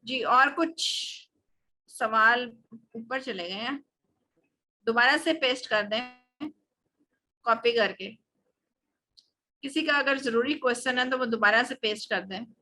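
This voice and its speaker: High, bright-voiced Pakistani woman teaching. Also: slow, long pauses, poor audio. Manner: explanatory, code-switching between Urdu and English. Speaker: English teacher. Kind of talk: live online class question-and-answer.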